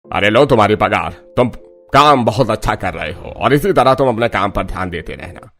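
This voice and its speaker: A genial cartoon elder played by a man with a deep voice. He speaks in an unhurried, approving way over faint music. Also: expressive, fairly bright tone. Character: genial older man, family elder and dairy boss. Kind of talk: cartoon story acting.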